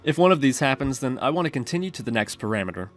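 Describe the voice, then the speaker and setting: A man with a slightly low-to-middling, ordinary speaking pitch hosts a programming tutorial. He narrates step by step in an explanatory way, talking through his reasoning. Faint music plays behind his voice. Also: sing-song, very fast.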